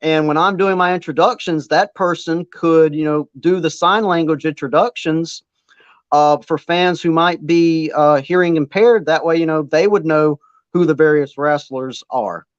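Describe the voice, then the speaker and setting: A man's voice: fairly high pitch, fairly even intonation, moderate pace, no echo, dull audio. A man who works as a wrestling ring announcer, chatting casually as an interview guest and rambling with plenty of fillers. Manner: rambling, self-deprecating, many fillers.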